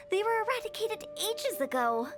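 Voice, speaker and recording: A woman's voice: extremely high pitch, full character voice. A woman voicing a playful, mischievous young funeral parlor director who talks in a breezy, self-assured way.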